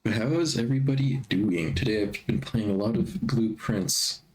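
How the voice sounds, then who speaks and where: A man streaming live in a casual chatty way, a bit stuffy from allergies and clearing his throat often. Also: fairly low pitch, normal speed, darkish tone, low-quality recording.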